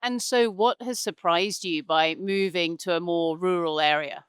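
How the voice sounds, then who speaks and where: A woman hosting an interview podcast, with a fairly low voice. She reads her intro in a measured, composed way before moving into questions. The sound is close and dry. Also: clean tone, dry recording. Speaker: podcast host.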